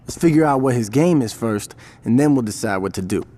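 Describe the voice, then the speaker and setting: A wary gang lieutenant, voiced by a man in a video game, cool and measured in a middle voice. Clear noise and car sounds run behind him. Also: medium pitch, expressive, dark tone, natural voice. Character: cautious male gang lieutenant. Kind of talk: video game cutscene acting.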